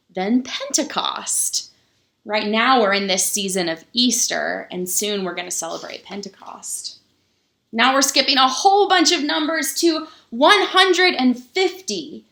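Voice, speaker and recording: A woman pastor reading a children's book aloud for story time, pausing to explain things simply to young listeners. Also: mid-range voice, creaky, sharp tone. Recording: roomy, echoing sound.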